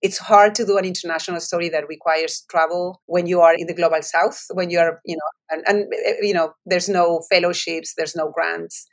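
A woman's voice: fairly low pitch, steady tone, brisk, hardly any pauses. A woman in her forties from Argentina with a Spanish accent, an author answering interview questions in reflective, winding storytelling full of restarts.

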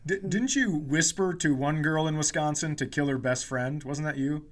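A man's voice: a little high, moderate pace. A man co-hosting a comedy podcast, telling self-deprecating stories in casual, joking banter. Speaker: podcast co-host.

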